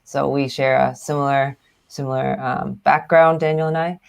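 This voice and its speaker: A woman's voice: very deep voice, steady tone, normal speed, vocal fry, clean tone. A woman from Hong Kong who grew up in Canada and speaks with a Canadian accent, explaining things easily as a guest on a video chat. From Hong Kong, raised in Canada. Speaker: guest, news platform founder. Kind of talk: casual interview talk.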